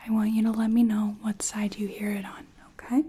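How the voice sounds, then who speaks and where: A woman voicing a gentle, attentive clinician in a soft-spoken ASMR medical roleplay, breathy and often whispering, with a reassuring manner. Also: mid-range voice, flat delivery, brisk. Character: gentle, attentive female clinician giving a check-up.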